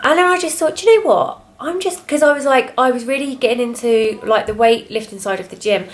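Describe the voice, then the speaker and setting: Soft-spoken young British woman vlogger. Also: on the high side, a little fast. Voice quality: soft, quiet voice. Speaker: fitness vlogger and influencer. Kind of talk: casual confessional chat to camera.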